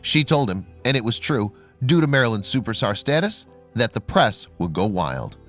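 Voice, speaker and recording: A man reading scripted documentary narration in a measured, formal storytelling manner. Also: lowish voice, sing-song, fairly bright tone. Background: background music.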